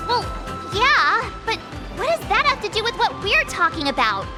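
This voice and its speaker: A woman voicing a blunt, expressive and inquisitive young girl in an anime-style video game. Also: very high voice, normal speed. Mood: puzzled. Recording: loud game music behind the voice. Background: game music.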